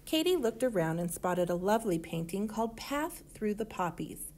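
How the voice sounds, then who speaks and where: A woman reading a children's story aloud in an expressive manner. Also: mid-range voice, normal speed. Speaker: storybook reader.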